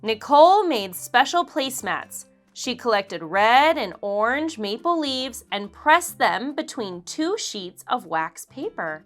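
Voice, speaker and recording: A woman reading a children's story aloud in an expressive, deliberate storytelling manner. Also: on the high side. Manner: expressive, deliberate, acts out dialogue. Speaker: children's book narrator. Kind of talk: read-aloud storytelling for kids.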